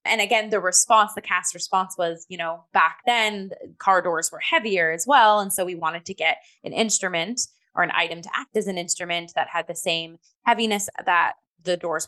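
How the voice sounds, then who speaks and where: Woman, lowish voice, very bright, conversational, emphatic, talk show co-host. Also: normal speed. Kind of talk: conversational review discussion.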